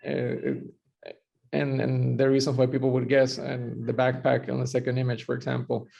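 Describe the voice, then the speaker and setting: A young man giving a halting, reflective comment in an online workshop, repeating words as he goes. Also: mid-range voice, monotone, creaky, warm tone. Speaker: workshop participant. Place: indoor room on a video call. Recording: dull video-call audio.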